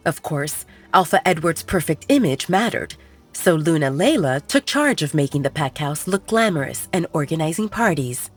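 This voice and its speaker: A woman narrating a scripted fiction story in a measured, expressive storytelling manner. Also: lowish voice. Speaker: audiobook narrator.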